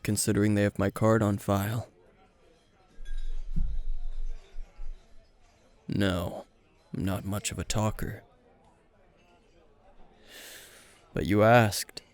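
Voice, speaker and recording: A man playing a weary, gruff veteran hero and teacher at a bar, terse and dry, in an intimate roleplay spoken to the listener. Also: fairly low pitch, darkish tone, dry recording. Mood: guarded, curt. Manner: terse, guarded, dry, sighing.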